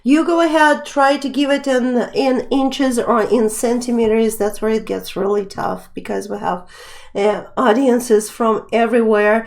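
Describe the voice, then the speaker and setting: High-voiced woman hosting a cat trivia stream. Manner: chatty, reads questions and viewer names aloud. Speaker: live stream trivia host. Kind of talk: casual live stream quiz hosting.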